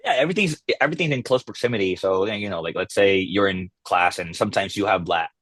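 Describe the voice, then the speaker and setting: A man raised in the Philippines, a guest chatting casually in an interview, halting with many fillers and restarts. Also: deep voice, fairly bright tone, dry recording. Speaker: podcast guest, content creator.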